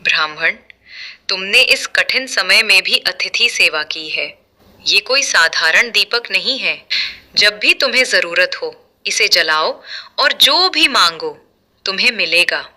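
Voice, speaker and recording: A woman voicing a benevolent wandering holy man in a storybook tale, calm, measured and instructive. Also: mid-range voice, exaggerated voice. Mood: solemn, kindly.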